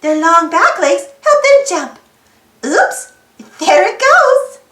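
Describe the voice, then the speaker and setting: A woman reading a children's storybook aloud in an expressive, sing-song way, putting simple questions to young listeners. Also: very high-pitched, slow, echoey room. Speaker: storybook reader. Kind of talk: children's read-aloud narration.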